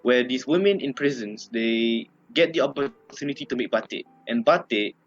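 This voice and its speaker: A man's voice: medium pitch, animated, normal speed, muffled recording, faint music behind. A young man with a Malaysian English accent, co-hosting a casual podcast chat and laughing often. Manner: giggly, laughs often between lines. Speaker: podcast co-host.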